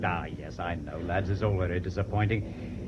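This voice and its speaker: An actor playing a steadfast British merchant navy officer held prisoner, speaking frankly in a measured, dogged way with a British accent. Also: male voice, low-pitched, lightly acted. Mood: rueful, apologetic. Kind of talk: radio drama acting. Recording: dull, noisy old radio recording.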